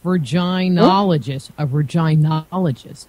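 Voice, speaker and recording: A woman's voice: sits low, unhurried, darkish tone, dry recording. A woman hosting a radio show, chatting casually with a guest in an interview.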